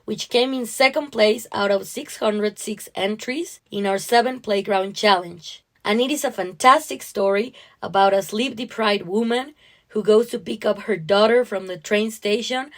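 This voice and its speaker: A woman's voice: mid-range voice, moderate pace. A woman co-hosting a podcast, speaking casually and narrating stories. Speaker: podcast co-host and story reader. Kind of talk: casual chat and story narration.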